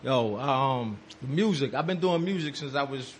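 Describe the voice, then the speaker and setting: A man's voice: mid-range voice, animated, moderate pace, slight background noise. Laid-back man from Sierra Leone and Brooklyn. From Sierra Leone, raised in Brooklyn and New Jersey. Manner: laid-back street talk, keeps saying "you know what I mean". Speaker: musician guest. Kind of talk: casual radio interview.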